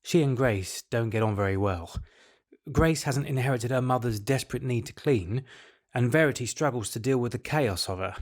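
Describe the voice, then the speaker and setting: A man's voice: mid-range voice, normal speed, lightly acted. A man acting a resigned, patient middle-aged husband and father in an audio drama, speaking in a matter-of-fact, weary way. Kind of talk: audio drama acting, confessional monologue and dialogue.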